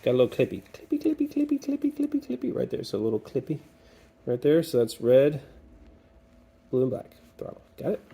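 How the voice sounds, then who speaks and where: A man's voice: medium pitch, wildly swooping pitch, brisk, gravelly, warm tone, husky. A man hosting a casual hands-on repair walkthrough in a workshop, chatty and thinking aloud as he goes, with some playful repetition of words. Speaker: DIY video host. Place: workshop or garage, cold day.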